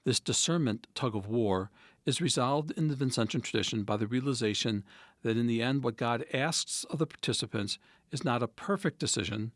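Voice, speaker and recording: A man reading a written essay as a priest, in a measured, deliberate and formal way. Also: medium pitch, no room sound.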